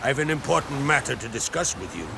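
An actor playing a hearty, commanding island chieftain with a gravelly voice in a fantasy game. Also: male voice, mid-range voice, expressive, fast, very noisy. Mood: hearty, insistent. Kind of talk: video game dialogue acting.

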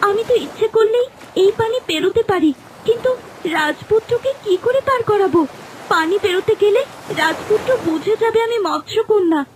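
A woman's voice: very high-pitched, fairly quick, slight background noise, rough recording. A woman voicing a devoted young mermaid in human guise, earnest and polite, in a fairy-tale cartoon. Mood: worried. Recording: voice under clear background music.

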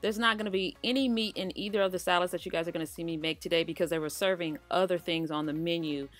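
A woman hosting her cooking channel in a relaxed, chatty voice-over, talking straight to her viewers. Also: on the low side, fast talker. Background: faint background music.

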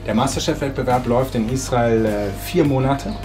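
A man's voice: mid-range voice, a little fast. Chatty German man cooking at home. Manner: relaxed, chatty, many filler hesitations. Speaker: interviewed chef. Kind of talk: casual cooking demo and interview. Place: home kitchen. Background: background music.

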